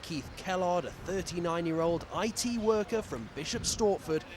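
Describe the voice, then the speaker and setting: A man's voice: sits high, moderate pace, noisy background. A British man narrating a scripted television sports news report.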